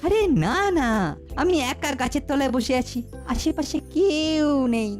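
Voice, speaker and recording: A woman's voice: high-pitched, expressive, unhurried, a little mellow. A woman voicing a cheeky, playful boy prankster in a cartoon, with a teasing, sing-song delivery. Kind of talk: cartoon voice acting. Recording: music behind the voice.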